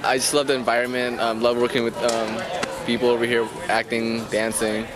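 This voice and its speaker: Young Filipino man over loud crowd. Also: medium pitch, little pitch movement, a little fast. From Philippines. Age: young adult. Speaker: student actor and dancer. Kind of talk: casual documentary interview. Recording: loud crowd and outdoor noise behind.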